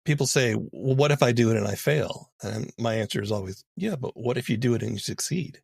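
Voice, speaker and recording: A man's voice: medium pitch, a little fast, gravelly, husky, no room sound, studio quality. A man hosting a podcast, with scripted narration and interview questions. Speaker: podcast host.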